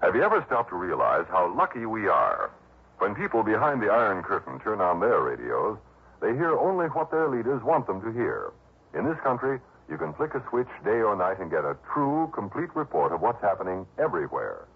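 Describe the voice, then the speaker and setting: A man reading scripted announcements and ads as a 1960s radio announcer, with a husky voice. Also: lowish voice, wildly swooping pitch, a little fast. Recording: muffled old radio broadcast.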